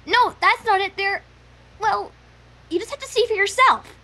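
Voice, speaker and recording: A woman's voice: very high-pitched, moderate pace, bright, forceful tone, full character voice. A woman voicing a sheepish, innocent little pony girl.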